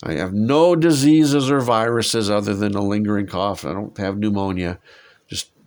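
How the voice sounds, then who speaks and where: A man's voice: on the low side, normal speed. A man with a head cold and lingering cough, teaching a Bible passage in a conversational way and asking his listeners rhetorical questions. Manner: warm, musing, asks listeners rhetorical questions.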